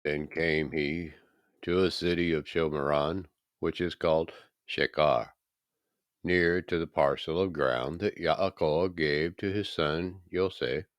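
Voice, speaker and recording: A man with a very low voice reads scripture aloud. He goes very slowly and solemnly, leaning on key words with dramatic emphasis. The sound is dry and close, with no room around it. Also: steady tone, dry recording. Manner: measured, emphatic, dramatic phrasing. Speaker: scripture reader. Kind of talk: solemn scripted Bible reading.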